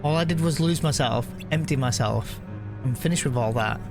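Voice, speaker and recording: A man live streaming a video game, chatting casually and reading the on-screen text aloud in a halting way, often correcting himself. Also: mid-range voice, music in the background.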